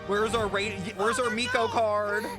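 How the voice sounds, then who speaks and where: A man's voice: high-pitched, flat delivery, measured pace, over loud music. A man livestreaming his reaction to a game, dramatic and gushing, with heavy slang, swearing and repeated exclamations.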